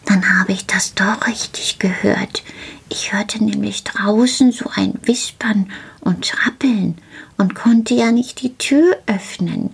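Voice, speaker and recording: A woman voicing a small, excitable male gnome who is eager, childlike and likes to repeat words in a storybook tale. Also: mid-range voice, takes it slowly, creaky, breathy edge, full character voice. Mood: musing.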